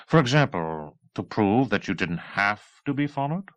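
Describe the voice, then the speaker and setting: A man reading the part of a calm, diplomatic army colonel in a measured, dry manner. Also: on the low side, lo-fi sound. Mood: dryly probing.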